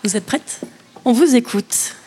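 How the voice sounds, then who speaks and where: A woman's voice: on the high side, unhurried, noisy background. A woman hosting a panel discussion and putting questions to guests. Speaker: host.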